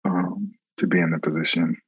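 Brief: man from Florida, recruiter, husky low voice, dry close sound, earnest and thoughtful. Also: level tone, moderate pace, fairly bright tone, dry recording, poor audio. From Florida, USA. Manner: reflective, earnest, many ums. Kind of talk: casual interview talk.

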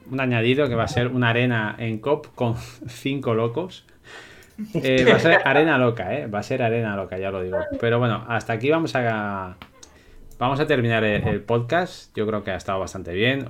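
Spanish man hosting a stream podcast, mid-pitched, relaxed, laid-back delivery. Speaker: podcast host. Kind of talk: casual livestream podcast.

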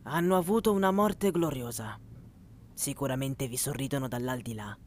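A man playing a courteous bird-folk hunter in a fantasy audio drama, speaking in a measured, respectful way. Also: medium pitch, a little hiss or hum, lightly acted. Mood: calm, respectful. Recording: loud music behind.

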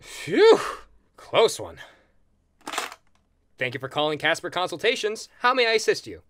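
An actor playing a polite, earnest paranormal hotline agent with a deferential customer-service manner in an audio comedy sketch. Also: male voice, high voice, very animated, a little slow, background noise. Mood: courteous.

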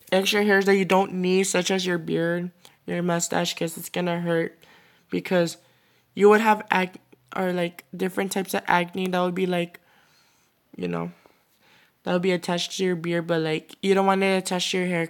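A man's voice: high voice, gravelly. Rambling teenage boy hosting a podcast. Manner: rambling, full of "like, you know" fillers. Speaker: podcast host. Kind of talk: casual solo podcast monologue.

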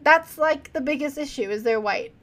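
A woman's voice: sits high, moderate pace, fairly bright tone. A young adult woman co-hosting a casual podcast, reading from reports and asking earnest questions. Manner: earnest, questioning, reads quotes aloud. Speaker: podcast co-host.

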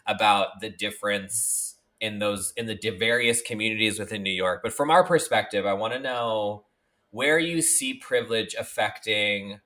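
This is a man co-hosting a podcast, casual and playful, leaning on fillers as he thinks aloud. His voice is bright and forward in tone. It sits a little low in pitch. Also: moderate pace. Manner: chatty, filler-heavy, playful. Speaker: podcast co-host. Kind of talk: casual chat.

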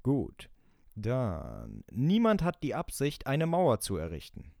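A man's voice: medium pitch, slow pace, vocal fry, no echo, studio quality. A man co-hosting a casual podcast, reading out quotes for a quiz and laughing often. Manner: lively, laughing, reads quotes aloud. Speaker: podcast co-host running a quiz.

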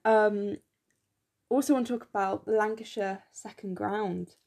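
A young woman co-hosting a podcast in casual chat, enthusiastic and full of fillers, her voice slightly croaky, recorded on a phone in her room. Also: medium pitch, fairly even intonation, a little slow, darkish tone. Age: young adult. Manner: chatty, enthusiastic, many fillers. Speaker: podcast co-host.

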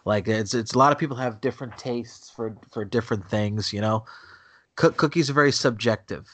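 A man's voice: mid-range voice, brisk, fairly bright tone. A man with a stuffy nose from a cold, chatting bluntly and with strong opinions, often repeating himself. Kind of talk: casual chat. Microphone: remote call connection.

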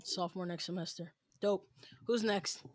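A young adult hosting a casual podcast, upbeat and teasing, with quick asides. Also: mid-range voice, steady tone. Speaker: podcast host.

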